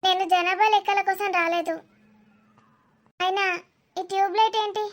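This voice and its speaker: A woman's voice: very high voice, fairly even intonation, moderate pace. A woman playing a young city visitor in a comedy cartoon, polite and questioning, puzzled, reserved and slightly impatient.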